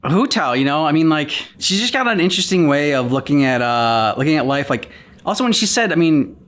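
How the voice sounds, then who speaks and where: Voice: medium pitch, animated, a little fast, a bit bright. Reaction host, mid-range voice, casual and exploratory conversational delivery. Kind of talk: casual chat.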